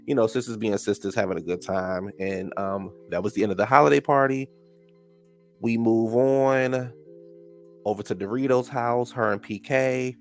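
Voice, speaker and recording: A man's voice: mid-range voice, normal speed, soft background music. A man with a stuffed-up nose giving a chatty, sassy recap of a reality show, calling people "child" and "honey". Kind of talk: casual recap commentary.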